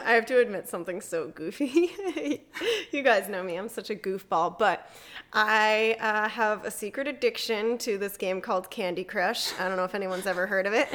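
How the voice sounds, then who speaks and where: A woman's voice: medium pitch, a bit bright. A woman hosting a casual chat in an informal, goofy way, with slangy talk peppered with "like". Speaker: host.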